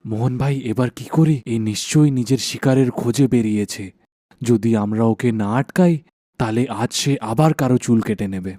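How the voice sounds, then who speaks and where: A troubled, suspicious husband from a cartoon village tale, played by a man. His mid-pitched voice is warm and rounded, with earnest, dramatic delivery. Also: moderate pace, no room sound, studio quality, close to an everyday voice. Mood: urgent. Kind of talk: animated folk tale dialogue.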